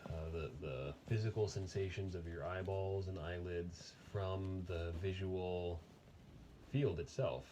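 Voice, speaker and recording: Guy with a deep voice doing a guided meditation, gentle n really slow, lots of pauses, noisy ambient background. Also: fairly even intonation. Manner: gentle, unhurried, with long pauses. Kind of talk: guided body meditation, unscripted.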